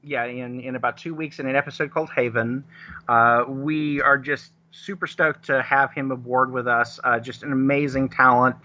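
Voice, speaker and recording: A man speaking as a guest in a casual live interview, halting and rephrasing as he explains. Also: medium pitch, steady tone, pushed and bright. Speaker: radio guest, audio drama producer. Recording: dull, lo-fi remote connection.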